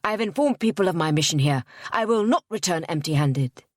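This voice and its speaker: A woman voicing a pompous, obsessive gentleman suitor in a storybook reading, speaking in a haughty, clipped way. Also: a little high, moderate pace, husky, no echo, clean studio sound. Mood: menacing.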